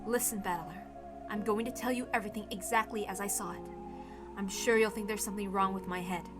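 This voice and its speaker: A woman voicing a resigned, dispassionate woman in a story reading, speaking in a measured, calm way. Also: mid-range voice, music in the background, natural voice. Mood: composed.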